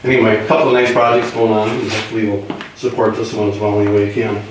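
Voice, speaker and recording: An American man with a voice roughened by a cold, informally presenting to a meeting. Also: on the low side, flat delivery, very fast. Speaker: grant presenter at council meeting. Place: indoor meeting room, far mic. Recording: echoey room, distant mic, faint noise.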